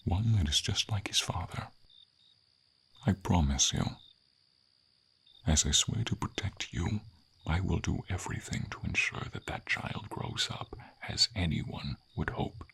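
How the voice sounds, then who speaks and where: Guy doing a wise old dragon for asmr fantasy, really low n gravelly, solemn but tender, whispery rasp. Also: a little slow, fairly bright tone, full character voice. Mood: tender, hopeful. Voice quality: deep, breathy rasp, often dropping to a whisper. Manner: solemn, tender, formal storybook diction. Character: old friendly silver dragon, wistful protector of a knight. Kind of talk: ASMR fantasy roleplay, dramatic monologue.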